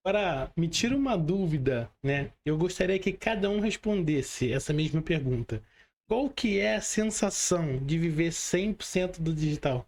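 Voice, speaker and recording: A woman's voice: lowish voice, expressive, moderate pace, darkish tone. A woman with a Brazilian accent hosting a podcast, explaining things conversationally and didactically, posing questions and answering them herself. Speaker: podcast host and trainer. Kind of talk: conversational teaching, interview.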